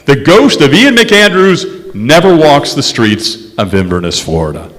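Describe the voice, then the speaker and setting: A man playing a confident, wry detective in an old-time radio drama on stage, speaking deliberately and emphatically. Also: on the high side, sing-song, fairly bright tone, a little hiss or hum. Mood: emphatic, knowing. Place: stage in a large reverberant hall. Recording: echoey hall stage recording with music.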